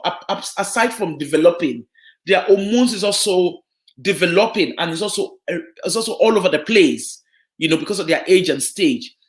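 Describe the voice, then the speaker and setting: A woman's voice: fairly low pitch, animated, normal speed. A woman from Nigeria with a Yoruba accent, giving an earnest, explanatory live broadcast talk on social work, full of fillers and restarts. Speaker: social work guest speaker.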